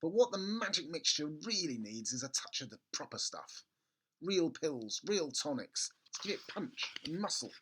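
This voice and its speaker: A man's voice: on the high side, very animated, moderate pace, pushed and bright, slightly rough, full character voice. A man voicing a mischievous young storybook boy, scheming to himself with relish.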